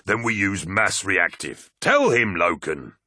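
A man's voice: sits low, sing-song, measured pace, sharp tone, dry recording, clean studio sound, exaggerated voice. A man voicing a solemn, practical soldier in an audiobook, arguing his point in an insistent, reasoning manner. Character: solemn, argumentative male soldier, practical and stern.

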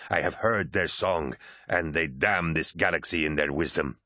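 Raspy, extremely deep fanatic warrior. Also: male voice, normal speed, bright, forceful tone, dry recording, studio quality, heavily acted. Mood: scornful, zealous. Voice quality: harsh rasp. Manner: grave, zealous, declamatory. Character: disdainful fanatical male warrior prophet of dark gods.

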